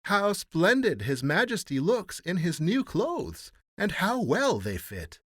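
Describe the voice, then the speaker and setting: Storybook flatterers, played by a male reader: high, swooping, gushing admiration in drawn-out exclamations. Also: dry recording, clean studio sound, full character voice. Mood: gushing awe. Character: fawning courtiers and townsfolk gushing in exaggerated admiration.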